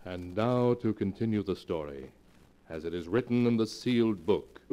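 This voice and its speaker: Locutor solemne de voz grave. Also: male voice, lowish voice, dark tone. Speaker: announcer. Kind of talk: scripted old-time radio narration. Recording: old radio broadcast with music.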